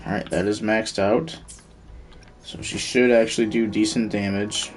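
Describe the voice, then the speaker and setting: A man's voice: fairly low pitch, steady tone, normal speed, soft background music. A man streaming a video game, giving casual commentary and muttering to himself as he thinks aloud. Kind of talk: casual live gameplay commentary.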